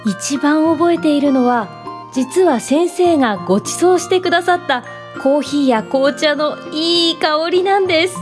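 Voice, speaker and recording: Bubbly high-pitched young woman student. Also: a little slow, over music. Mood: fond reminiscence. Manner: bubbly, eager, polite. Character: cheerful, curious, forthright young female college student. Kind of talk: Japanese radio drama acting.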